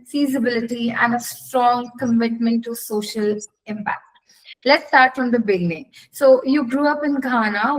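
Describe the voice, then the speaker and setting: A woman hosting a formal interview, reading prepared introductions and questions in a measured way. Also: on the high side, a bit bright, rough recording. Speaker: interview host.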